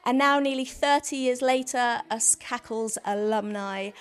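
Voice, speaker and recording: A woman's voice: on the high side, unhurried. A woman in her mid-forties with a Welsh accent, hosting a podcast with casual, reminiscing chat. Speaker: podcast host, former child actor.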